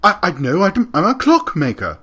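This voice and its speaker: A man's voice: high voice, huge pitch swings, moderate pace, sharp tone, husky, full character voice. An actor playing a nervous, flustered clockmaker in an audio drama, stammering as he protests.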